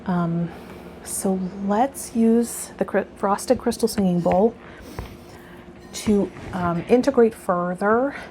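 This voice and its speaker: A woman's voice: mid-range voice, slow, warm tone, a little hiss or hum. A woman speaking as a tarot reader and spiritual coach, moving between reading aloud and casual guided talk to her viewers. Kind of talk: tarot lesson, partly read aloud, with guided meditation and casual vlog talk.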